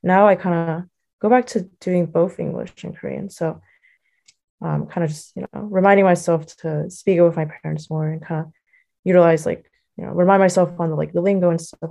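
A woman's voice: on the low side, fairly even intonation, a little fast, gravelly, warm tone. A young adult woman with an American accent, giving casual, reflective interview answers in a hesitant, loosely phrased way full of filler words. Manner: hesitant, loosely phrased, heavy use of like and you know. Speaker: interview guest, college student.

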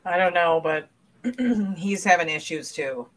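Slightly high-voiced man, easygoing. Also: expressive, a bit bright. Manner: easygoing, halting. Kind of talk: casual chat.

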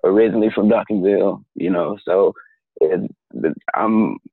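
Husky laid-back man on a phone line. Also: fairly low pitch, normal speed, no echo. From Dallas, Texas. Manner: laid-back, easygoing, lots of "you know". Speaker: musician guest. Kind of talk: casual interview talk.

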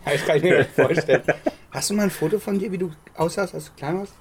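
A man's voice: high voice, quick pace. Joking, rambling man on a podcast. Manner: joking, rambling, laughs often. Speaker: podcast co-host. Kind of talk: casual chat.

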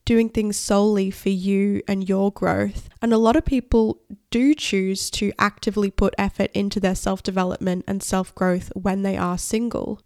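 A woman's voice: medium pitch, a little mellow, clear, resonant tone, no room sound, clean studio sound. A young woman in her twenties with a cold, sounding nasal as she hosts her own podcast in chatty, upbeat solo talk. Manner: chatty, upbeat, talks with many likes. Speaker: podcast host. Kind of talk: casual solo podcast chat.

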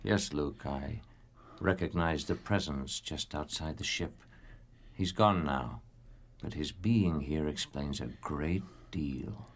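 An actor playing a wise old knight in a radio drama, calm, courteous and measured. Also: male voice, rumbling low, heavily acted. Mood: grave, hushed. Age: older. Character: wise, calm old male Jedi knight, quietly commanding.

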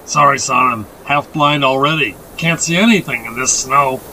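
A man voicing a weary, gruff father in an audiobook, anxious and exasperated, speaking haltingly. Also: mid-range voice, bright, forceful tone, slight background noise, low-quality recording. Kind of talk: dramatic audiobook character reading.

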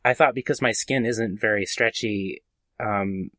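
A man's voice: fairly low pitch, measured pace, bright, forceful tone, dry recording. A man co-hosting a podcast, chatting informally and a little tentatively. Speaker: podcast co-host. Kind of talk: casual chat.

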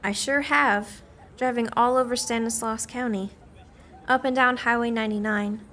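A woman's voice: a little high, fairly even intonation, normal speed, bright, forceful tone. A woman playing an earnest, inquisitive small-town newspaper reporter in a radio play, in a conversational style. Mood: friendly, probing.

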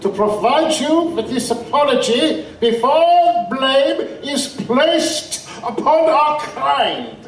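Slow, swooping, haughty vampire. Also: male voice, extremely high pitch, big pitch swings, exaggerated voice. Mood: haughty, amused. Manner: formal, deliberate, drawn-out phrasing. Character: courtly, haughty male vampire liaison, formal and condescending. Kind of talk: steampunk audio drama acting. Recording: echoey, distant, faint noise.

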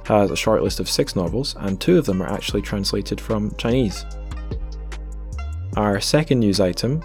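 A man's voice: lowish voice, expressive, normal speed, a little mellow, soft background music. A man hosting a podcast in an informal, chatty, conversational style. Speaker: podcast host. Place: remote recording over internet call.

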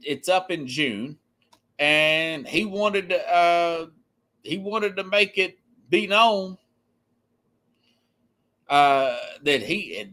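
A man with a fairly high, very bright and forceful voice delivers off-the-cuff sports opinion as a podcast host. He speaks emphatically, stopping and restarting and repeating himself to drive a point home. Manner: halting, repetitive, emphatic. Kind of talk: unscripted opinionated sports commentary.

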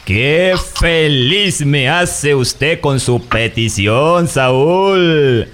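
A man's voice: fairly high pitch, very slow, fairly bright tone, a little hiss or hum. An actor playing a hospitable country father, eager to please, in a radio drama. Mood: delighted, accommodating.

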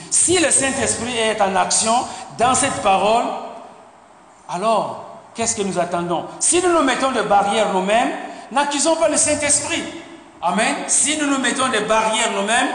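A man's voice: sits high, animated, unhurried, fairly bright tone. Guy preaching a sermon, stumbles and repeats words, says amen a lot, big echoey church hall. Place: church sanctuary. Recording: echoey hall with faint noise.

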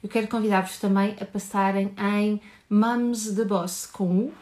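A woman with a European Portuguese accent hosting a live stream, warm and enthusiastic, speeding up and then slowing down to explain. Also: medium pitch, little pitch movement. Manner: warm, enthusiastic, talks fast and slows to explain. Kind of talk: animated live stream welcome.

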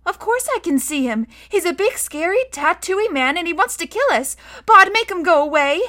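A woman's voice: extremely high pitch, expressive, moderate pace, sharp tone, pitched above the performer's own voice, full character voice. A woman voicing a small, outspoken, stubborn girl in a storybook, childlike and emphatic. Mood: scared, irritated.

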